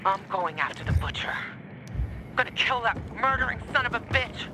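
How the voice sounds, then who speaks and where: A woman's voice: fairly high pitch, lively intonation, normal speed. Young female fighter, fierce, vengeful, urgent, video game drama, noisy action background. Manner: urgent, defiant.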